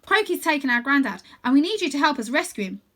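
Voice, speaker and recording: A woman's voice: high-pitched, very animated, quick pace, bright, forceful tone, pitched above the performer's own voice, exaggerated voice. A woman voicing an earnest, uncertain but brave boy in a storybook adventure. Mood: pleading.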